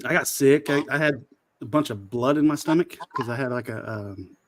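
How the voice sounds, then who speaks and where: A man's voice: medium pitch, normal speed, noisy background. A man in his forties chatting casually and profanely in a group conversation. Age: 40s.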